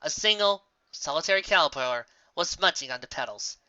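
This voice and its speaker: Female story narrator, lowish and very animated, expressive scripted read, slightly dull dry recording. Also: fairly quick, no echo.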